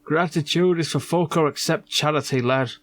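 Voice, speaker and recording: A man's voice: fairly high pitch, normal speed, a bit bright, pitched above the performer's own voice, lightly acted. A man voicing a pompous, dismissive manager in an adventure game, haughty and grumbling.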